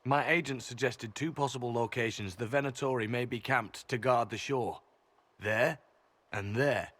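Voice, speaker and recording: An actor playing a composed, earnest secret agent in a fantasy video game, measured and matter-of-fact. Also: male voice, medium pitch, a bit bright, close to an everyday voice. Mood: businesslike.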